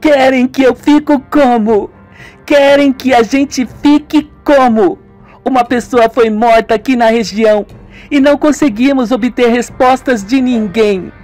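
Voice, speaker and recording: A man voicing an angry, grieving young woman in a radio soap, high and tearful. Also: measured pace, a little mellow, faint music behind. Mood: irritada. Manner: tearful, furious outbursts.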